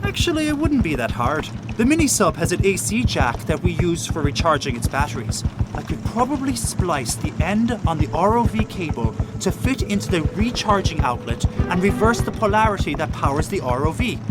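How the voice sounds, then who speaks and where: High-pitched, eager brainy first mate. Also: male voice, sing-song, brisk, over loud music. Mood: eager, technical. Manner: precise, technical, earnest. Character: brainy, eager, good-hearted first mate and tech tinkerer. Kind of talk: audio drama acting.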